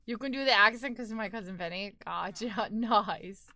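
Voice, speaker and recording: A woman's voice: mid-range voice, moderate pace, pushed and bright. A young woman with a New York accent, chatting casually with her live-stream audience while gaming, talkative and laughing often.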